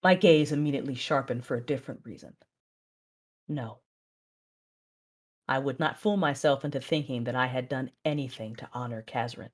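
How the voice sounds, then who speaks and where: A woman as a low-voiced, measured Sith mother. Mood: brooding. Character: Sith mother and wife, controlled, proud, devoted. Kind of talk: first-person dramatic narration and dialogue.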